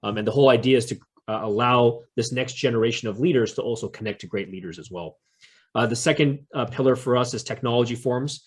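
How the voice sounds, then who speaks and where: A man's voice: medium pitch, quick pace, husky. Man hosting a community interview. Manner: many fillers, friendly and curious. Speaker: interview host. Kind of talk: community event introduction and interview.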